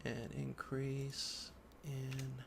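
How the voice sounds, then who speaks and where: Gravelly man thinking aloud through a tutorial. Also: medium pitch, level tone, very slow. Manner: thinks aloud, murmuring to himself. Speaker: instructor giving a software tutorial. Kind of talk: casual unscripted screen-recorded walkthrough. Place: indoors at a computer. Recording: background noise, keyboard typing and clicks. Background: keyboard typing and mouse clicking.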